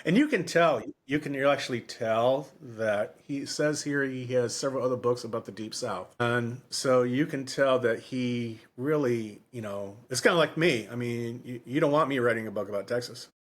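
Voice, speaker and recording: A man's voice: medium pitch, moderate pace, hardly any pauses. Rambling man in casual book chat. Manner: rambling, repeats and restarts phrases. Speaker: podcast co-host.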